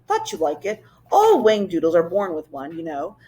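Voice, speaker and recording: A woman's voice: on the low side, huge pitch swings, normal speed, mellow. A woman voicing a regal, chatty old moose-like creature king with a lisp for a children's storybook. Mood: chatty, pleased. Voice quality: lisping. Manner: nonchalant, chatty, slightly haughty. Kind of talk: children's storybook read-aloud.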